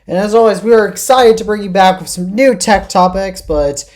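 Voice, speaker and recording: A man's voice: high voice, normal speed, darkish tone. A man hosting a casual tech podcast, talking with a cold and opening the show eagerly. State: has a cold. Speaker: podcast host.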